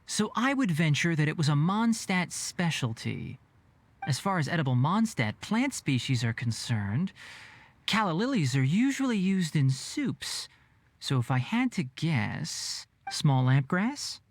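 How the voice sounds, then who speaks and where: An actor playing a studious young man who reasons out loud in a measured, analytical way in a game. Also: fairly high pitch, big pitch swings, full character voice. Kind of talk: game voice acting.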